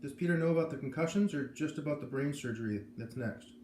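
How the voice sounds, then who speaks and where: An earnest, worried schoolboy performed by a man reading a children's novel aloud presses with urgent questions. The pitch is lifted, and the room echoes around it with noticeable noise. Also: fast, darkish tone, natural voice. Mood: anxious. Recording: roomy echo, noticeable background noise.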